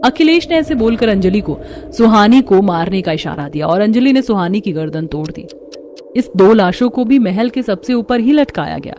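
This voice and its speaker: A woman's voice: mid-range voice, animated, moderate pace, warm tone. Woman narrating over noticeable noise. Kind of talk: scripted horror story narration.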